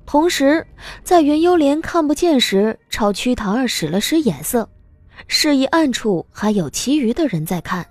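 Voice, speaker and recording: A woman giving expressive scripted narration as an audiobook narrator. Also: sits high, moderate pace, no echo.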